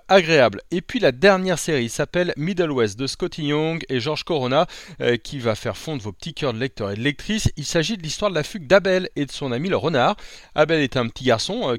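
A man's voice: a little high, no echo, studio quality. A man presenting a scripted podcast review segment, upbeat, with light asides and some hesitation. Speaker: podcast columnist.

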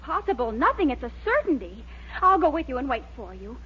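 Really high swoopy young american gal, pushy schemer, old radio sitcom. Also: female voice, very animated, a little fast, pushed and bright, exaggerated voice. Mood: insistent, scheming. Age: young adult. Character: eager, scheming young girlfriend, breezy and persistent. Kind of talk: 1940s radio situation comedy acting. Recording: muffled old radio recording, faint hiss.

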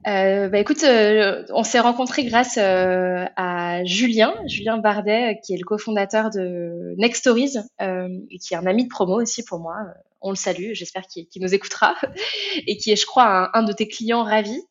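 A woman's voice: mid-range voice, moderate pace, highs cut off. A woman hosting a casual business interview, friendly and hesitant, with many fillers. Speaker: host, company founder.

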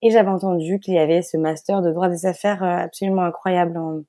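A young Belgian woman in her twenties talks through her path in a relaxed podcast conversation. Her voice sits low and mellow, and she often corrects herself mid-sentence and laughs at her own slips. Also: fast. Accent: Belgian French. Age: 20s. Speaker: guest, fashion brand founder.

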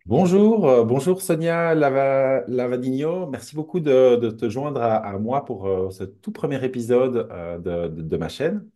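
A man's voice: mid-range voice, lively intonation, a little slow, few pauses, mellow. A man hosting a podcast, reading an earnest introduction and then welcoming his guest. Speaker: podcast host. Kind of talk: podcast host, intro and interview.

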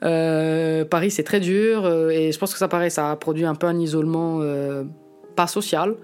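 A man in his late twenties from Italy, talking frankly and reflectively in an interview and often pausing to find his words. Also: on the high side, fairly even intonation, darkish tone, clear, resonant tone, soft background music. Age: late 20s. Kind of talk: candid personal testimony.

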